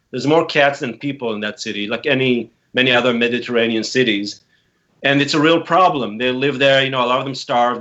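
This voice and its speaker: A man from Israel in his forties recounts his past in a reflective, earnest way, often stopping to restart a phrase. His voice sits at an ordinary middle pitch. The recording sounds muffled and lo-fi. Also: pushed and bright. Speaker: interview guest, activist founder. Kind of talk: casual interview talk. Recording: dull, lo-fi sound.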